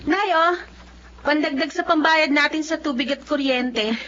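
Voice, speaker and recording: An actress playing a selfless, resilient twenty-year-old Filipina daughter in a TV drama, gently firm and stoic. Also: female voice, high voice, unhurried. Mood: reassuring. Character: selfless, resilient young working daughter who gave up studies. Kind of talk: Filipino television drama acting. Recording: dull audio under music.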